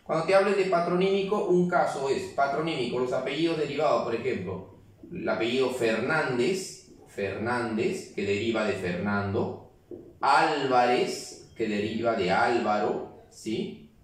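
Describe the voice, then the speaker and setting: A man's voice: mid-range voice, unhurried. Male teacher, keeps checking in with the class; heavy room echo, mic far away. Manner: explains step by step, keeps checking with "¿ya?". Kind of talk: classroom grammar lesson.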